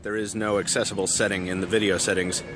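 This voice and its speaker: A man's voice: low voice, level tone, fast, music in the background. A man hosting a tech show, narrating a scripted product review in an emphatic style with dry, wry asides.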